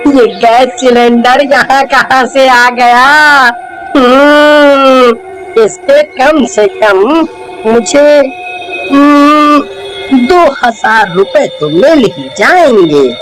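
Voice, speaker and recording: Man as a high-pitched, opportunistic village trader. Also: extremely slow, fairly bright tone, faint music behind, full character voice, poor audio. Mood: delighted, calculating. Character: cheerful opportunistic villager or gas seller pushing his goods. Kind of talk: cartoon ghost story dialogue.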